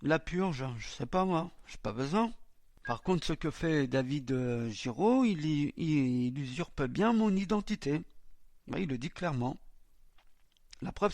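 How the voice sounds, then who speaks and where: High-voiced sarcastic radio host. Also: male voice, a little mellow, dry recording. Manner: mocking, defiant, full of hesitations. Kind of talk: commenting on recorded CB radio chatter.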